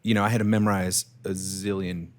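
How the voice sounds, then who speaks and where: A man's voice: sits low, flat delivery, fairly quick. A man in his thirties, a startup founder, talking casually with many fillers and restarts in an interview.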